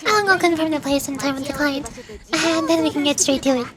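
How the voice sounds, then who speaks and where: A woman's voice: sits high, brisk, natural voice. A woman voicing a perky, scheming young cat girl mercenary, chatty and cajoling, reading game dialogue. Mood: persuasive, upbeat. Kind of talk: video game dialogue read aloud. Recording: loud music behind the voice. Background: game music.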